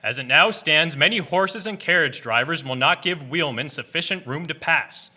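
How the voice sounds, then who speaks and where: A man's voice: on the high side, normal speed, sharp tone. A man acting a formal, officious meeting chairman of the 1890s, declaiming in orderly fashion in a radio drama.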